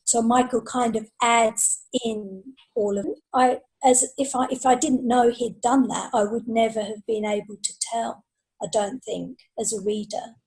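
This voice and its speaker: A woman chatting casually and unscripted as a podcast guest author, hesitant and self-effacing, with a slightly husky voice. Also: fairly high pitch, darkish tone, rough recording. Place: remote group video call.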